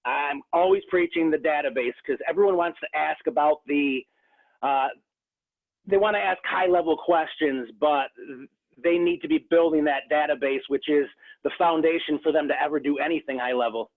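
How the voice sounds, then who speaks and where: A real estate agent from the Rochester, New York area, talking earnestly in a casual interview, with many restarts. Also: male voice, a little high, moderate pace. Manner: earnest, frequent restarts and fillers. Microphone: phone. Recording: tinny phone line.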